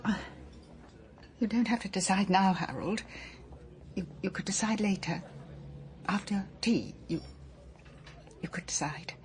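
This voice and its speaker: An actress playing a lonely older wife who stammers and halts through tearful talk in a radio drama. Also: female voice, mid-range voice, unhurried, pauses often, a little hiss or hum, lightly acted.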